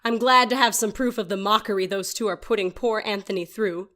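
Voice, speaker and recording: A woman's voice: mid-range voice, brisk, bright, forceful tone, natural voice. An actress playing a haughty, bitter older woman in an audio drama, imperious and condescending. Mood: haughty, indignant.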